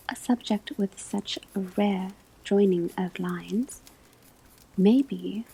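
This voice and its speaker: A woman playing a calm, scholarly professor at a witch school, whispering a lecture in a measured, deliberate ASMR roleplay. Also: mid-range voice, slight background noise, natural voice, low-quality recording. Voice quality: breathy, soft whisper. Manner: measured, deliberate phrasing with frequent pauses. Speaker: ASMR performer playing a teacher.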